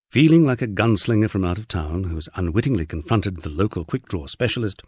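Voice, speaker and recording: A man's voice: low-pitched, darkish tone, slightly rough, no echo, clean studio sound. A man reading a humorous first-person memoir aloud as scripted audiobook narration. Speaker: audiobook reader.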